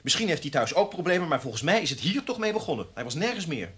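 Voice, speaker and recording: Husky, resentful young journalist. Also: male voice, fairly high pitch, sing-song, fast, fairly bright tone, exaggerated voice. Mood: confiding, resentful. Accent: Dutch from the Netherlands. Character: resentful, ambitious younger male newspaper journalist. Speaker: radio drama actor. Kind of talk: radio play acting.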